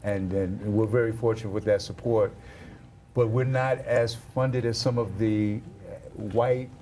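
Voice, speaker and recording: Male interview guest, middle-aged. Low and mellow, measured conversational delivery. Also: steady tone. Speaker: arts organization leader, interview guest. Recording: faint background noise.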